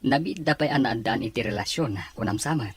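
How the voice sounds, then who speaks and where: A man's voice: mid-range voice, a little fast, breathy edge. A man playing a gossipy, teasing elder brother in an Ilocano audio drama, with an Ilocano accent. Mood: amused. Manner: teasing, animated.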